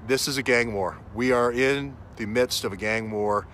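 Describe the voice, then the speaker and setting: Male street vlogger, bright mid-pitched voice, emphatic stop-start improvised commentary, light urban noise behind. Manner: halting, emphatic, repeats phrases for stress. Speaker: vlogger, self-styled investigator. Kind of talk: unscripted outdoor vlog monologue, speculative commentary. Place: outdoors on a city street. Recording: outdoor street noise with traffic. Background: faint urban ambience and vehicles.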